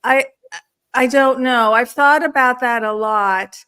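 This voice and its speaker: A woman's voice: on the high side, slow pace, bright, forceful tone. A woman from Turkey who grew up in the Washington DC area, talking conversationally as an interview guest and telling personal stories. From born in Istanbul, Turkey; grew up in the Washington DC area. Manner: frequent throat clearing. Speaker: guest, spiritual counselor and experiencer.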